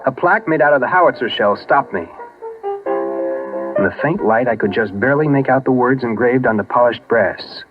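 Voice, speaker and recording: An American actor playing a soldier who recalls a Christmas in Korea with feeling, in a 1950s radio drama. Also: male voice, medium pitch, animated, fairly quick, natural voice. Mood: wistful, spellbound. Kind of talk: 1950s radio drama first-person narration. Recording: dull 1950s radio recording, music behind. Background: dramatic music.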